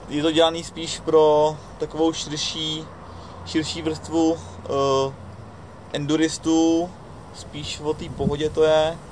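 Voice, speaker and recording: A man in his twenties talks casually in a halting, hesitant way. His voice sits a little high and stays fairly even. It is recorded outdoors, with faint ambient noise behind him. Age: 20s. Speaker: mountain bike racer recapping a race. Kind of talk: casual vlog recap. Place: outdoor wooden deck in the mountains.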